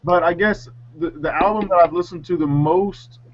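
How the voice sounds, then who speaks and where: A man's voice: high-pitched, normal speed. A man chatting casually on a music talk show panel, hesitant and self-mocking. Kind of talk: casual chat. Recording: dull lo-fi sound.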